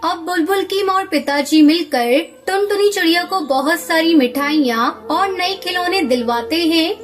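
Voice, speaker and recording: A woman narrating a scripted children's cartoon story. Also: very high voice. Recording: music under the voice.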